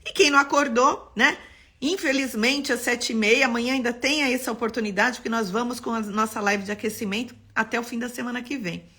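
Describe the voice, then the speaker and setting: Woman, Paulista accent, architect hosting a live lesson; bright, expressive delivery. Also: a little high, a little fast, slightly rough. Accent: Paulista Brazilian Portuguese. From São Paulo, Brazil. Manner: expressive, greets viewers by name. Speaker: architect teaching a live class.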